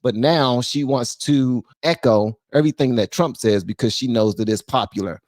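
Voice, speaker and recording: A man's voice: medium pitch, moderate pace, a little mellow, no room sound, studio quality. A man hosting a reaction commentary channel, talking emphatically and firing off rhetorical questions.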